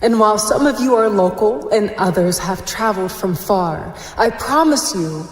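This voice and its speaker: Woman acting formal female master of ceremonies, poised, mid-pitched, stately, big echoing hall, audio drama. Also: expressive, moderate pace, lightly acted. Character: poised female spokeswoman for a secret elite society. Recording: echoey hall public-address reverb.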